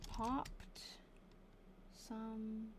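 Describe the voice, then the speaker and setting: A woman from Massachusetts hosting a live stream, reading an old diary aloud in a casual way, thinking out loud and slowing down over hard words. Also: fairly high pitch, little pitch movement, a little hiss or hum.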